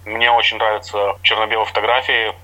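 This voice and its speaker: A man's voice: lowish voice, flat delivery, fairly quick, sharp tone, low-quality recording. A middle-aged man from Latvia, a guest on a radio interview, talks about his theatre projects in an easygoing, explanatory way with small hesitations.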